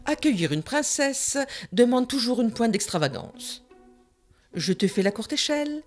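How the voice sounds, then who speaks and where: A woman's voice: a little high, sing-song, measured pace, soft background music. A woman voicing a pushy, scheming queen mother who talks in a bossy, theatrical way in a cartoon.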